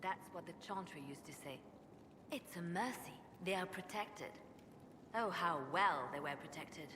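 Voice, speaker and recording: A woman playing a melancholy, devout spymaster in a video game, speaking in a measured, solemn way. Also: lowish voice. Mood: bitter. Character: melancholy, devout female spymaster haunted by grief. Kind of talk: video game cutscene acting. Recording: echoey hall, loud background noise.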